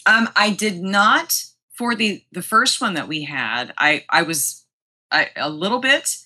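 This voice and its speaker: A woman's voice: mid-range voice, animated, unhurried, pushed and bright, husky. A woman speaking casually and effusively as an interview guest, with plenty of enthusiasm for her work. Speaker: guest, museum publicity director.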